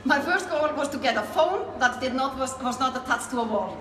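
A woman's voice: sits high, lively intonation, brisk, a bit bright. A middle-aged woman from Iceland with an Icelandic accent, telling their own story conversationally as an interview guest. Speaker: network marketer being interviewed. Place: small indoor room. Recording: echoey room, clear background noise.

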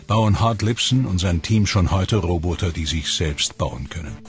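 A low-pitched male voice reads a documentary script at a measured pace. He lets his voice sink on the darker lines. A clear, steady music score runs underneath him. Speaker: documentary narrator.

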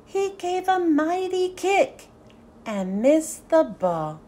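A woman narrates a children's storybook in a high voice that swoops up and down. Her delivery is animated and deliberate, with a soft, mellow tone and an unhurried pace suited to young listeners. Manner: animated, sing-song, deliberate phrasing. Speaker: children's book read-aloud host.

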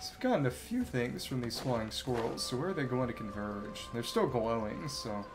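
Guy streaming a video game, mid pitched voice, rambles and thinks out loud, faint music in the back. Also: expressive, moderate pace. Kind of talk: casual live gameplay commentary.

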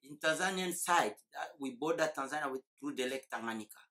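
A man's voice: a little high, brisk, bright, forceful tone. A man in his forties from eastern Congo, explaining at length and thoughtfully in an oral history interview, with hesitant fillers, recorded indoors on a lapel mic. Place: indoor room.

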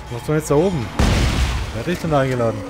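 A man's voice: mid-range voice, animated, racing, over loud music. A man giving casual, slangy gaming commentary, playfully griping and talking to the game's characters. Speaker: let's player.

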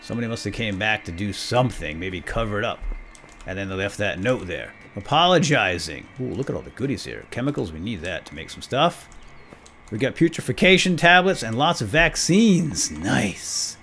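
A man's voice: lowish voice, sing-song, moderate pace, a bit bright, faint music behind. A man hosting a let's play, giving casual gameplay commentary with playful exclamations and asides.

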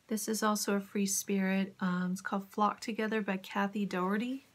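A woman casually showing fabric in her home sewing studio, her voice crackly from a cold, often dropping to a soft whisper. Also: medium pitch, fairly even intonation, normal speed. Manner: soft-spoken, drifts into whispers when admiring fabric. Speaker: sewing vlogger. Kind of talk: casual fabric haul chat.

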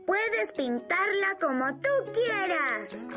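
A woman's voice: extremely high pitch, sing-song, extremely slow, over loud music, exaggerated voice. A woman voicing a cheerful, helpful young boy rabbit in a children's cartoon, encouraging and explaining things step by step. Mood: cheerful, instructive.

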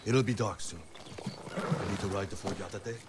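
A man's voice: low-pitched, moderate pace, heavy background noise, close to an everyday voice. An actor playing a male samurai traveller in a video game cutscene. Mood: resolute.

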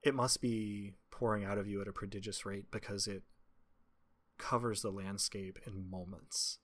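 A man's voice: fairly low pitch, fairly even intonation, moderate pace, a bit bright. A man narrating as game master and hosting a tabletop role-playing podcast.

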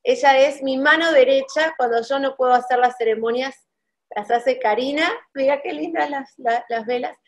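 A woman's voice: sits high, normal speed. A woman in her thirties hosting a promotional video call, bubbly and upbeat. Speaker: wedding planner host. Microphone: video call webcam.

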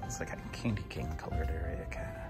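A man's voice: low voice, fast talker. A man filming a casual walking tour of a store, upbeat and chuckling between remarks. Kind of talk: casual chat while walking. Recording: music playing loud in the store.

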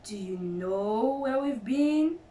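A woman voicing a sly, confident, gallant father fox in a storybook, with assured, courtly and deliberate phrasing. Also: high voice, expressive, fairly bright tone, echoey room, recorded from a distance.

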